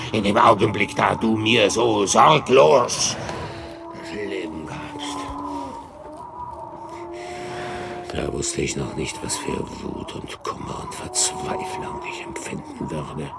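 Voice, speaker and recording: An actor playing an anguished, lonely man-made monster in a radio play, speaking in halting, broken phrases. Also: on the low side, big pitch swings, vocal fry, noisy background, exaggerated voice. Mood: bitter despair.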